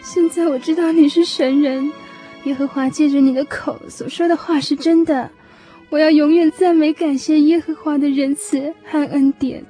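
A woman's voice: high-pitched, fairly even intonation, normal speed, dark tone, soft background music, lightly acted. An actress playing a grateful, devout mother in a radio drama, speaking with fervent reverence. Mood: grateful, reverent. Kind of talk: Mandarin radio drama acting.

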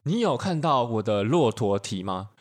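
A man's voice: medium pitch, sing-song, moderate pace, natural voice. Man playing a languid, theatrical camel woman. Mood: languid, disdainful. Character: mysterious camel turned woman, disdainful and languid.

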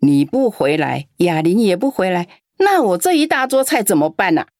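A woman's voice: mid-range voice, very animated, normal speed, a little mellow, dry recording, clean studio sound. A woman playing a lonely old grandmother. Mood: aggrieved. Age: older. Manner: fond and sighing, turns scolding and aggrieved. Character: lonely elderly grandmother, doting yet quick to scold. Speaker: mother in a radio drama. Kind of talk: radio drama acting.

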